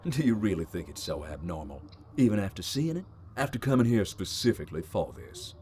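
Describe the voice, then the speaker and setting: A man playing a calm, world-weary ghost investigator in a video game, dry and matter-of-fact. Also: low voice, brisk, a little hiss or hum. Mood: dismissive.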